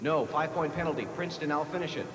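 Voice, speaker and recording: An American man with a slightly high voice hosts a live quiz show, reading questions and confirming answers. He is recorded in a big reverberant hall with clear background noise, like a 1980s TV broadcast. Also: rapid-fire. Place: large echoey hall, live televised competition. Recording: noisy, echoey 1980s TV broadcast in large hall.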